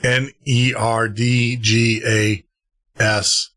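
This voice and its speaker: Man, radio host, casual rambling chat, bright tone, middle pitch. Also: little pitch movement, drawn-out words. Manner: chatty, rambling, laughs at himself.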